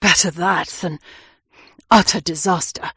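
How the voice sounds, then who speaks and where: A woman voicing an anguished young man on the run, who swings from vehement outbursts to hushed, tender pleading in a husky, breathy voice. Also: on the low side, steady tone, measured pace, no room sound, clean studio sound, exaggerated voice. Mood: insistent. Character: anguished young man, hunted fugitive, passionate and brooding.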